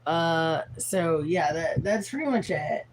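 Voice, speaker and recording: A tired woman in her forties with a raspy voice, rambling frankly and swearing as she chats on a livestream. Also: sits low, expressive, slow pace, rough recording. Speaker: livestream host. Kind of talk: casual livestream chat.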